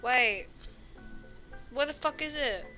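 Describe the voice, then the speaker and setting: Girl streamer with a high voice, sweary casual reactions, repeats herself, game music playing. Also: slow, pushed and bright. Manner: slangy, repetitive, swearing. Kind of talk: casual live gameplay reaction.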